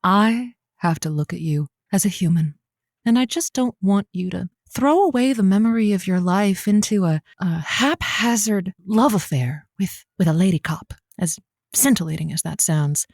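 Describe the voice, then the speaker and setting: A woman playing an effusive, flustered radio advice host who talks in dramatic, halting bursts. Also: mid-range voice, lively intonation, a little mellow, no echo, clean studio sound. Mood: excitedly dramatic. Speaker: call-in show host. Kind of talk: scripted audio comedy, radio host banter.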